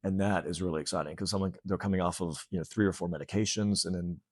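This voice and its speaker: A man's voice: low-pitched, steady tone, fast, a little mellow, no echo, studio quality. A man in his late fifties talking earnestly and casually as an interview guest, often breaking off to restart. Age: late 50s. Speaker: fitness center owner, interview guest.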